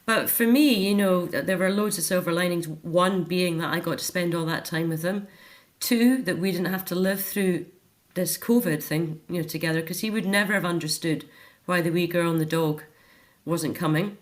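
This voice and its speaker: Woman, middle-aged, Scottish accent, slightly low pitch, talkative, sincere, conversational interview guest. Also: moderate pace. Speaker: interview guest, author and care home volunteer.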